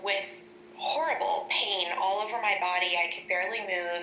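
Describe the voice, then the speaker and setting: Very bright-voiced woman, echoey room. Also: mid-range voice. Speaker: interview guest recounting a personal experience. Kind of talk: personal storytelling in an interview. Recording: echoey room, faint background noise.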